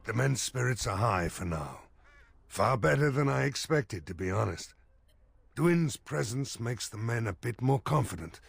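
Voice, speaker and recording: An actor voicing a gruff, weary, plain-spoken rustic village mayor in a fantasy video game. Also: male voice, mid-range voice, expressive, unhurried, dry recording, heavily acted. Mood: cautiously relieved.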